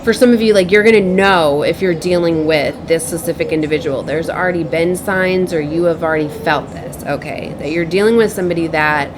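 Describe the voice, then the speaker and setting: A woman giving an improvised spiritual message as a tarot reader, speaking in a halting, repetitive, earnest and cautioning way. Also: on the low side, background noise. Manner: halting, repeats herself, circles back.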